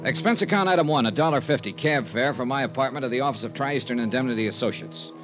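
A man's voice: mid-range voice, quick pace, music in the background, lightly acted. An American man playing a wry, cool insurance investigator in 1950s radio detective style, with clipped delivery. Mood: dry narration. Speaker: radio drama lead and narrator.

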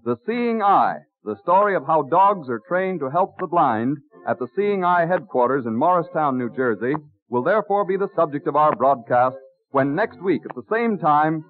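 A man working as a 1930s radio announcer, reading a scripted sponsor's message in a formal, deliberate manner. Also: on the high side, lively intonation. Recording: dry, narrow-band old radio recording.

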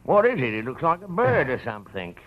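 Male heavy: American rooming-house landlord, mocking and threatening, very animated intonation, vintage radio thriller. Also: on the high side, a little fast. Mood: nosy, sardonic. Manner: sneering, slangy tough guy. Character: menacing, sardonic male rooming-house landlord, bird fancier. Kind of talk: 1940s-50s radio noir acting. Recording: muffled old radio recording, faint noise.

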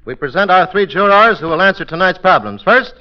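Deadpan comic judge, high-pitched for a man, pompous formality, 1940s radio, dull lo-fi sound. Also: fairly quick. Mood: mock-formal. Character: mock-solemn male judge of a comic quiz court. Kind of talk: 1940s radio comedy acting. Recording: muffled old radio broadcast.

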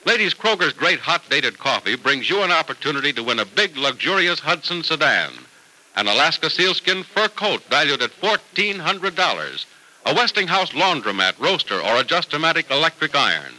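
Bright, punchy male vintage radio announcer. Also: on the high side, big pitch swings, normal speed, low-quality recording. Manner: emphatic, polished salesman delivery. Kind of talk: 1940s radio announcing, scripted promotion.

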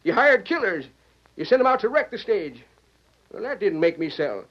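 A fiery old American frontier businessman in a radio Western. His voice sits very high for a man, and he blusters stubbornly in folksy frontier speech. The sound is dull, lo-fi vintage radio. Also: sing-song, normal speed, fairly bright tone, full character voice. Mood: furious, defiant. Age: older. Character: fiery, stubborn old frontier stage line owner, a father.

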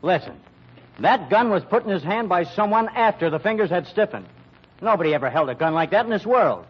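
An actor playing a shrewd, hard-boiled young investigator in an American old-time radio mystery, brisk and matter-of-fact. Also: male voice, high voice, lively intonation, husky. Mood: accusing. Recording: dull vintage radio recording.

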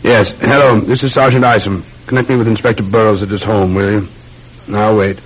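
An actor playing a dutiful, methodical British police sergeant in an old radio drama, polite and measured. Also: male voice, lowish voice, steady tone, breathy edge. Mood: urgent, deferential. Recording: dull, noisy old radio recording.

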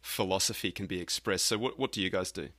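A man's voice: low voice, fast, bright, forceful tone, no room sound. A man hosting a podcast, putting conversational questions to his guest. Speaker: podcast host, interviewer.

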